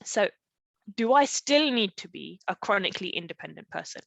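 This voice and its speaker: Female coach, middle-aged, mid pitch, conversational live teaching, frequent check-in questions, dry recording. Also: lively intonation, moderate pace, gravelly, no echo. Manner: explanatory, often checking in with "okay?" and rhetorical questions. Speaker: parenting coach leading a live online session. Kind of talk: conversational live webinar teaching with personal stories. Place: live online group session.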